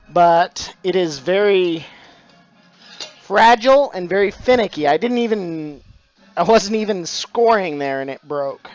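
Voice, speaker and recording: A man's voice: high-pitched, lo-fi sound. A middle-aged man, chatting casually on a live stream about his craft, full of dad jokes and thanks to followers. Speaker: stained glass streamer.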